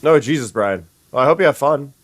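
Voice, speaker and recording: Brief: young sniffly streamer, mid-pitch man's voice, chatty with restarts, dry booth sound. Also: animated, fairly quick, dry recording. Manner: chatty, stumbling restarts, greets viewers. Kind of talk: casual gameplay chat.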